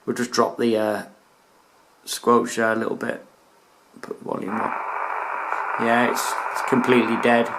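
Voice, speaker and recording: A man's voice: medium pitch, monotone, a little slow, pauses often, very noisy. A British man giving a casual, chatty video review as a hobbyist, using informal slang. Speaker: radio hobbyist reviewing his collection.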